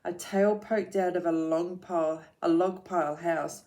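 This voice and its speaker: Woman doing a young monster girl, eager, curious, brave, storybook voice, slow reading pace. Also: fairly low pitch, fairly even intonation, a little mellow. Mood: excited. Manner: eager, questioning.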